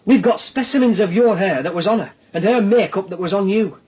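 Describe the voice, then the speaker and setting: An actor playing a sardonic police detective in a radio drama, with a Northern English accent, pressing with insistent questions. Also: male voice, high voice, brisk, a little mellow, husky, lo-fi sound. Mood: accusing. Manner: insistent questioning with tag questions and dry sarcasm. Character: needling, sardonic male police detective grilling a suspect. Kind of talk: naturalistic radio drama dialogue.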